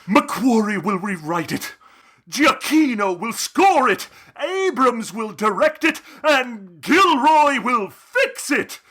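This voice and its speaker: A pompous, theatrical older brother in a comedic audio drama. His voice is high and forceful, swooping grandly through slow, drawn-out phrases. He sounds vain and scheming. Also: sing-song, breathy edge, full character voice. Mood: smug, grandiose.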